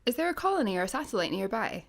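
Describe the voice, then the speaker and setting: Brisk, higher-voiced space fugitive. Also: female voice, big pitch swings, vocal fry, heavily acted. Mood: matter-of-fact. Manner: matter-of-fact, dry. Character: brisk practical young woman space fugitive. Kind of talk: sci-fi comedy radio acting.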